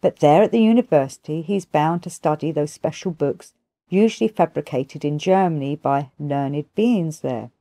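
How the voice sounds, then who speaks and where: A woman's voice: deep voice, normal speed, warm tone, dry recording. A woman voicing a kindly but sarcastic male pharmacist who explains things at length in an audiobook. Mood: wry, explanatory. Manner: sarcastic, expository, rhetorical questions. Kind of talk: audiobook character dialogue.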